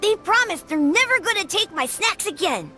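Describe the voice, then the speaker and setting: A woman voicing an excitable, innocent small child in a game, eager and guileless. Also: very high voice, moderate pace, music in the background. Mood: eager, bright. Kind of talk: anime-style game dialogue.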